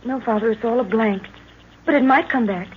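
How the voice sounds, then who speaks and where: An actress playing a professor's plucky but shaken young daughter, melodramatic and genteel, in an old-time radio drama. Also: female voice, a little high, a little fast, lightly acted. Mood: weary, plucky. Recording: dull, noisy vintage radio recording.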